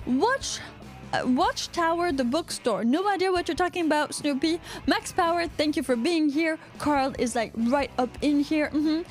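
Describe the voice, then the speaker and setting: Woman, Quebecois accent, podcast co-host, rambling, joking, high voice, faint background music. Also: moderate pace. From Quebec, Canada. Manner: rambling, joking, reading out live chat comments. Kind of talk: casual livestream podcast chat.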